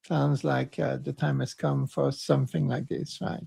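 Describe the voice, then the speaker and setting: A man with a croaky voice co-hosting a panel discussion, rambling and self-mocking, then speaking with passion. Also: mid-range voice, little pitch movement, normal speed, warm tone. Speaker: co-host.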